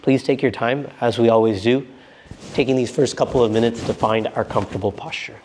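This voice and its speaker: A man guiding a meditation as a teacher, speaking in a gentle, unforced and reassuring manner. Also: medium pitch, steady tone, brisk, slight background noise. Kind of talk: guided meditation instruction.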